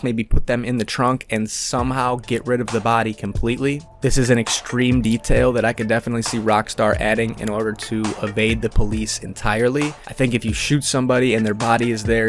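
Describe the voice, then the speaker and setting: A man giving casual, conversational commentary on video game rumors as a gaming content creator. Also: medium pitch. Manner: informal, conversational, speculative. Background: background music.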